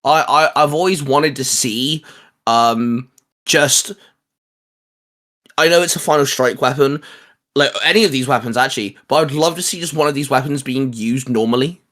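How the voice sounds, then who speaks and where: Man, middle pitch, casual fan-show co-host, conversational, enthusiastic, loosely hedged phrasing. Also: moderate pace, a bit bright. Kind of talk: casual geek chat, ranking discussion.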